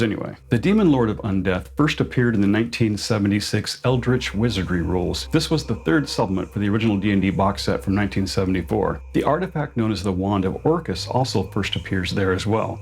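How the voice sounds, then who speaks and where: A man hosting and narrating a scripted lore explainer for tabletop gamers, measured and explanatory in delivery. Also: fairly low pitch, darkish tone. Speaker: host, narrator.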